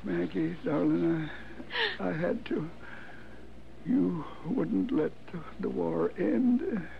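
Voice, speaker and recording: Dying young man, high for a man, heavy croak, faltering delivery, tender, noisy old radio western. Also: phone-quality sound, heavily acted. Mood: weak, tender. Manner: halting, broken, gravelly. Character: dying, tender, weak-voiced son. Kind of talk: 1950s radio western acting.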